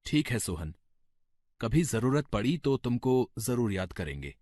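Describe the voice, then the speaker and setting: Man acting an emphatic young husband, animated pitch. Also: unhurried, dry recording, studio quality. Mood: friendly. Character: young village husband, devoted son, hot-tempered when roused. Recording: loud music under the voice.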